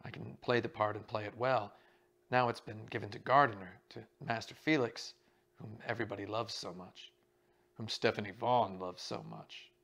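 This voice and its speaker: A man's voice: lowish voice, fairly even intonation, moderate pace, creaky, fairly bright tone. A man voicing a nervous, resentful male actor who hesitates and stammers as he talks. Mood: tense.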